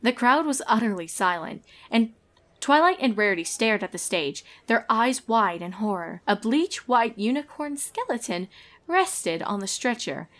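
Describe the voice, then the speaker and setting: A woman's voice: fairly high pitch, sing-song, unhurried, a bit bright. A woman reading a fan fiction story aloud as narrator, in a scripted storytelling style.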